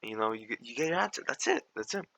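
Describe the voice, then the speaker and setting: A young man with a raspy voice, walking through calculus problems in a slangy, laid-back way and sometimes mumbling steps under his breath. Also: medium pitch, sing-song, fast talker, bright, forceful tone, low-quality recording. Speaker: math tutor. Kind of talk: casual tutoring walkthrough.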